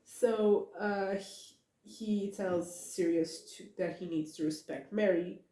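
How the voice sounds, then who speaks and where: A woman's voice: medium pitch, slow. Giggly, rambling young woman in an echoey room. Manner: giggly, many fillers and restarts, excited asides. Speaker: podcaster talking about a book. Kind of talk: casual rambling monologue.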